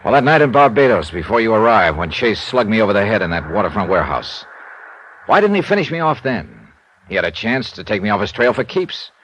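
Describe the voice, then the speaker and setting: An American actor playing a world-weary, wry insurance investigator in a 1950s radio drama, speaking tersely. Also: male voice, lowish voice, very animated, brisk, fairly bright tone, a little hiss or hum, rough recording. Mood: wry, probing. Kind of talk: 1950s radio drama acting, hard-boiled narration. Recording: old radio recording with music.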